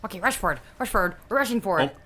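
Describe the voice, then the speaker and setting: A woman playing a tabletop game, excitable and repeating short phrases as she improvises in character. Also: medium pitch, very fast.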